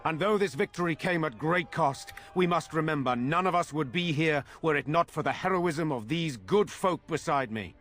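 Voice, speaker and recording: An actor playing a noble leader in a fantasy game, speaking in a formal, oratorical way with stately gratitude. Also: male voice, on the high side, normal speed, few pauses, a bit bright, lightly acted. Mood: solemn, grateful. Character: noble man leading defenders, stately and grateful.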